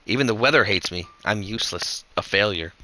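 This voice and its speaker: A man voicing a despairing young outcast girl who speaks quietly and hopelessly. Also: on the low side, a little fast, low-quality recording.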